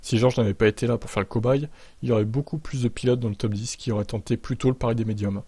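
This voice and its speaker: A man's voice: medium pitch, steady tone, fast talker, warm tone, husky, no room sound. Guy doing racing news, mid voice, slangy and blunt, really dry recording. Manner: informal, sardonic, slangy. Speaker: motorsport commentator and video host. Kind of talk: news narration with blunt opinionated asides.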